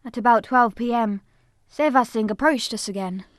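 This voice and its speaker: High-voiced woman narrating solemnly. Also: dry recording, studio quality. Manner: solemn, measured storytelling. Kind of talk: scripted first-person dramatic narration.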